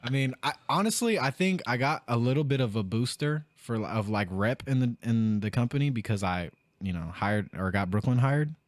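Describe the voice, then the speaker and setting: A man's voice: medium pitch, lively intonation, moderate pace, hardly any pauses, no room sound. A man in his twenties chatting on a podcast, telling rambling stories about his job. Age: 20s. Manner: laid-back, rambling storyteller, restarts often. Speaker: guest. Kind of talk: casual podcast chat.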